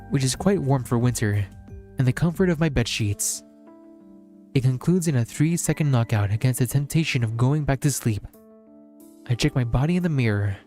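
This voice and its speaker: Mellow man reading a visual novel aloud. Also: medium pitch.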